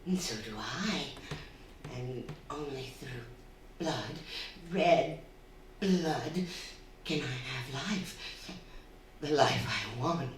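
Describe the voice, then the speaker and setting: In a cavernous, distant-sounding hall, a bloodthirsty female spirit from a radio play. Her voice is low and slow, menacing and taunting as she coaxes. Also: animated, full character voice. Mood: sinister, commanding. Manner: menacing, coaxing, cackling, drawn-out theatrical delivery. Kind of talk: stage radio drama acting. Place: theatre stage before a live audience. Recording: very echoey hall, distant, faint noise.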